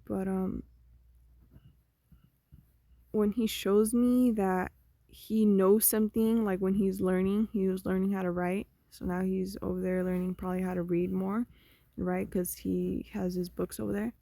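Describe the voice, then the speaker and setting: A young woman vlogging, talking through her thoughts in a slow, meandering, conversational way that often trails off. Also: medium pitch, level tone, vocal fry, dark tone, dry recording. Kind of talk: rambling personal monologue.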